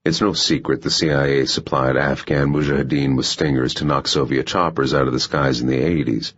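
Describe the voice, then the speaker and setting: Guy doing a tired blunt agency man in an audiobook, really low husky voice, kinda dull recording. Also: fairly even intonation, fairly quick, fairly bright tone, full character voice. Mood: matter-of-fact. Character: blunt, world-weary male American intelligence official. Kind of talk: audiobook character dialogue.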